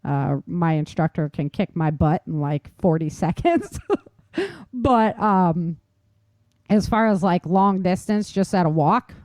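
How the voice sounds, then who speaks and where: A woman's voice: fairly low pitch, expressive, measured pace, darkish tone, dry recording. A woman hosting a talk show, chatting informally and emphatically about practical tips and laughing easily. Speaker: podcast host. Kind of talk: casual survival-tips discussion.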